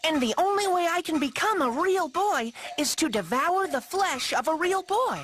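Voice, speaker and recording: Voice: very high voice, lively intonation, normal speed, noisy background. An actor voicing a childlike wooden puppet boy in a cartoon, plaintive and creepily polite, who longs to become real. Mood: hungry, creepy. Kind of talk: cartoon voice acting. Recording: music under the voice.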